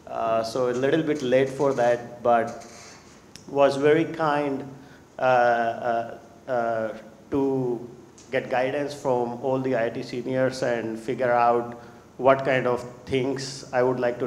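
A man in his forties from India, an investor guest speaking earnestly in a conversational interview, with frequent "uh" fillers. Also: medium pitch. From India (Rajasthan).

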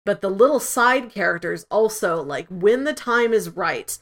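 Woman, podcast co-host, average pitch, casual review chat, informal phrasing. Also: expressive, a little slow. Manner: informal, peppers talk with "like".

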